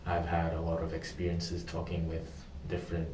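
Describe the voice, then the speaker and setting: A young man from Indonesia answers interview questions in a deep, flat tone, often stopping to search for words. He sounds distant from the mic, in a room with a noticeable echo and faint ambient noise. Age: young adult. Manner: hesitant, many pauses and ums. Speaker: job candidate in a practice interview. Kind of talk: mock job interview answers.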